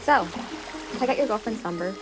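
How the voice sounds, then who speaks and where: A woman's voice: fairly high pitch, animated, brisk, music in the background, close to an everyday voice. A woman playing a sarcastic, grumpy teenage girl in an audio drama, with a dry, irritated, slangy delivery. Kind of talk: naturalistic audio drama acting.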